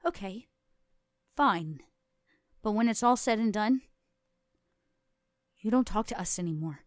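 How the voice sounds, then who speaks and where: Nervy, defiant monster hunter played by a woman: wry, challenging, medium pitch, close dry sound, tabletop game. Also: animated, a little slow, no echo, natural voice. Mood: wary defiance. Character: nervous but defiant female monster hunter.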